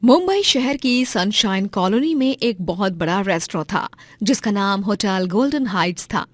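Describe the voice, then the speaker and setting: A woman's voice: medium pitch, animated, normal speed, no room sound, studio quality. A woman narrating a scripted moral story as a storyteller.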